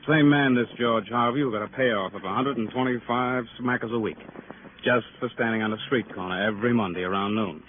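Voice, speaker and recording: An actor playing a sardonic police detective who needles suspects in clipped, drawling phrases, in 1950s radio crime drama style. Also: male voice, mid-range voice, fairly quick, lightly acted. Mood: wry, deliberate. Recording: tinny, noisy old radio recording.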